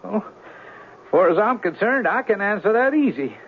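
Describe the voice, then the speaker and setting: An actor in a 1970s radio drama playing a swindler's plain-spoken male sidekick, halting and folksy. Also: high-pitched, big pitch swings. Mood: grudging, blunt. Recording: tinny 1970s radio recording, faint noise.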